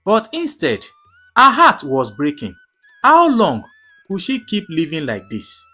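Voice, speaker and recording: A woman narrating a scripted folktale in a dramatic, measured way, phrase by phrase. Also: fairly low pitch, wildly swooping pitch, pushed and bright, husky. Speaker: storyteller, narrator. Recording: music under the voice. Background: background music.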